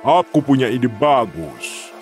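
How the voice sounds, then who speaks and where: A man's voice: mid-range voice, very animated, slow pace, a little mellow, faint music behind. A man playing a big, sly, confident seafaring envoy in a children's story, speaking in a smug, formal manner. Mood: scheming.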